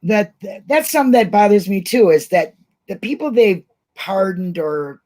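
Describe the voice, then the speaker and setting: A woman's voice: medium pitch, animated, normal speed, warm tone. A woman hosting an informal online talk, speaking casually in a blunt, emotional way. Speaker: host of an online livestream show.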